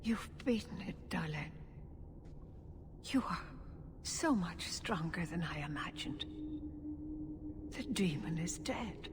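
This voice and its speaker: An actress playing a solemn older elf woman, a clan leader with a measured, resolute manner, in a video game. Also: fairly low pitch, lively intonation. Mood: tender, weary. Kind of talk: video game dramatic acting. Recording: clear background noise, ambience.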